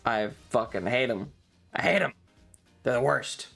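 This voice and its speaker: Male streamer, slightly tired, unhurried rambling chat with swearing, music underneath. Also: medium pitch, expressive, creaky, a bit bright. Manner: sweary, self-deprecating. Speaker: livestream host.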